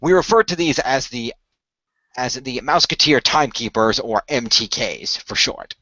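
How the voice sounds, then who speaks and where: A man playing an earnest male leader who recruits heroes in a radio play, explaining things in a halting, deliberate way. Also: medium pitch, animated, bright, forceful tone, no echo, lo-fi sound. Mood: earnest, assured. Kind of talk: improvised radio play dialogue.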